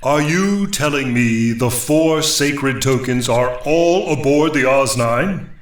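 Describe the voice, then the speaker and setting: A man's voice: mid-range voice, slow pace, close to an everyday voice. Guy acting as a grand stern god type, super formal n lofty, takes his time, roomy echo on it. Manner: formal, lofty, archaic phrasing. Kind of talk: audio comedy drama acting.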